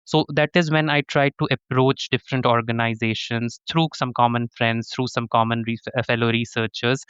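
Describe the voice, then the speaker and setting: A man's voice: medium pitch, flat delivery, normal speed, fairly bright tone, clean tone, no echo, lo-fi sound. A man from Pakistan, a professor and interview guest, giving earnest conversational answers with frequent restarts. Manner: earnest, many restarts and "to be honest".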